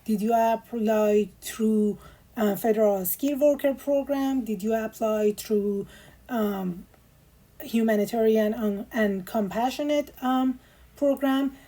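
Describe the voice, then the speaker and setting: A woman's voice: fairly high pitch, slow pace, dark tone, poor audio. A woman explaining step by step how to fill in an online immigration form, with frequent ums and patient instructions. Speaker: immigration tutorial host. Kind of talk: screen walkthrough tutorial.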